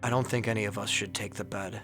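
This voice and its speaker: Deep, gravelly, subdued explorer played by a man. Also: fairly even intonation, quick pace, music in the background, lightly acted.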